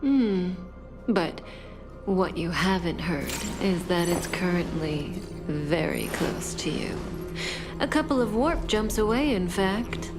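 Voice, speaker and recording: An actress playing a cool, mysterious, teasing wanted criminal in a video game, unhurried and suave. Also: female voice, lowish voice, lively intonation. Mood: sly, calm. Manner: unhurried, coolly amused, suave. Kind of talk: English video game voice acting. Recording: background music under the voice.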